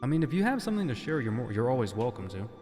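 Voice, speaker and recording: Male ASMR roleplay streamer, mid-pitched, courteous and playful; long reverb, clear guitar music behind. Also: big pitch swings, very fast, mellow. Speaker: livestream host. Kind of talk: casual ASMR roleplay stream, chatting to viewers.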